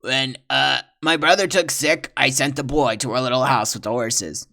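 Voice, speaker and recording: A man voicing a cowardly, blubbering male trapper who wails and pleads in a high, loud voice. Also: moderate pace, gravelly. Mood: pleading.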